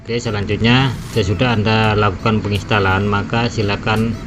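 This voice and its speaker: A man with a lowish voice talks through a gadget tutorial in a measured, step-by-step way. Music plays clearly behind him throughout. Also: steady tone. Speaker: gadget reviewer. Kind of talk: tutorial voice-over. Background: background music.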